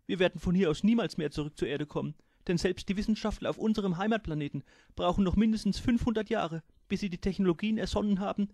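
A man's voice: sits high, quick pace, dark tone, no echo, clean studio sound, voice pushed up. A man voicing a pompous, gloomy male navigator who lectures his crew in a solemn tone. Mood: lecturing.

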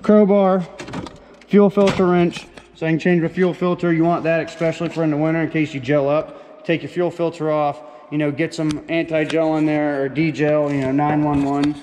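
Higher-voiced man in a noisy, echoing recording. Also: a little mellow. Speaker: truck driver vlogger showing his gear. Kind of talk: casual walk-through vlog, chatty and informal. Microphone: handheld camera on small tripod, no foam cover. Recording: reverberant, noisy handheld camera audio with handling clicks.

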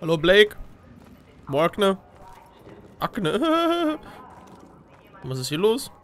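A man's voice: high voice, huge pitch swings, drawn-out words, fairly bright tone. A young man giving casual, joking game commentary on a headset, playful and often exclaiming. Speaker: let's play gamer. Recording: game noise behind voice. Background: video game sounds.

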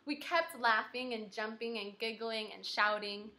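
An eager, boastful kindergarten girl as a woman reader plays her tells her own storybook tale. The voice is high and very bright, childlike and emphatic, with a pushy streak. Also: unhurried. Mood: excited, chatty.

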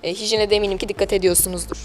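A woman's voice: mid-range voice, flat delivery, rapid-fire. A woman hosting a local TV interview in a chatty, polite, wordy presenting style. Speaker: TV programme host interviewing business owners. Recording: music behind the voice. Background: background music.

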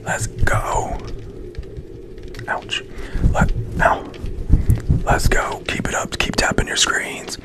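A man's voice: takes it slowly, long pauses. A young man hosting a casual livestream, greeting viewers and playfully scolding his dog in a whispery voice. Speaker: livestream host.

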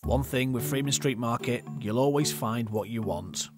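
A man delivers scripted documentary narration at a middle pitch. Music runs clearly behind his voice. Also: moderate pace.

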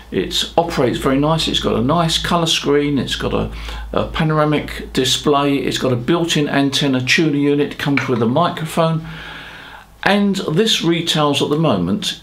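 Older British man with a creaky voice. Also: on the high side. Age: 70s. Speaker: ham radio presenter. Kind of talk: explanatory talk to camera. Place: small home studio room, indoors.

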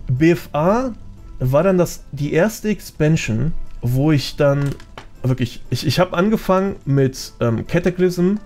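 A man's voice: a little high, expressive, measured pace, darkish tone. Guy on a gaming podcast, casual slangy talk w english gamer words, some music in the back. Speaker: podcast guest, gaming content creator.

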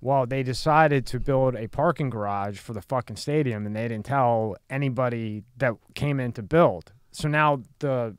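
A male podcast host tells stories in a blunt, casual way. His medium-pitched voice is very clear and resonant, and the sound is close and dry. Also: normal speed, hardly any pauses, dry recording. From Pennsylvania, USA. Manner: blunt, swears freely. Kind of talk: casual chat, anecdotal. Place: small curtained podcast studio. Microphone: close broadcast mic on boom arm.

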